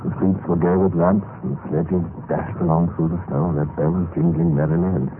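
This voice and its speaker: Man acting meek, low-voiced clerk, old radio sound. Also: steady tone, moderate pace, narrow, tinny audio, background noise, close to an everyday voice. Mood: reflective. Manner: hesitant, stammering, apologetic. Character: meek, flustered, modest male government clerk, narrating his own tale. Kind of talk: radio drama acting and first-person narration. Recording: band-limited old radio, music behind.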